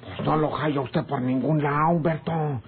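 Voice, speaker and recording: An actor with a northern Mexican accent playing a sly, quarrelsome, rustic ranch hand in a radio drama, his voice husky. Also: on the high side, steady tone, normal speed, lightly acted. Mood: agitated. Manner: rustic, colloquial. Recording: muffled old radio serial recording.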